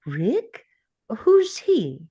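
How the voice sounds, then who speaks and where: Woman reading a gracious lady, swooping pitch. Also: huge pitch swings, very slow, warm tone, breathy edge, heavily acted. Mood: gracious, curious. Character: gracious, friendly but reserved beautiful young woman. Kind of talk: amateur script read-through.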